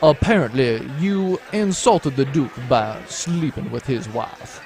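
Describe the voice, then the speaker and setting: An actor playing a smug, treacherous baron in a space adventure audio drama, mock-genial and pompous. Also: male voice, medium pitch, lively intonation, slow, a little mellow, faint music behind. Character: smug, treacherous male baron, smoothly menacing villain.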